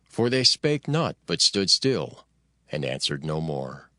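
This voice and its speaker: A man narrates scripture in a measured, solemn way. His pitch varies widely across phrases. The recording is dry and close. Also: very animated, bright, forceful tone, no room sound.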